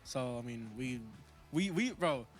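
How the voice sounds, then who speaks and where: A man's voice: mid-range voice, animated, measured pace, faint music behind. A teenage man, the band's drummer, talking casually with fillers and plenty of bro. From Portland, Oregon. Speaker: band drummer. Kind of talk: casual interview chat.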